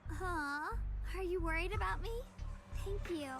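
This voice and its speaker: Very high-voiced, earnest young heroine. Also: female voice, measured pace. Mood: earnest, gentle. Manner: earnest, polite. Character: gentle, earnest young female swordswoman and her peers. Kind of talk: anime-style video game voice acting. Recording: music behind the voice.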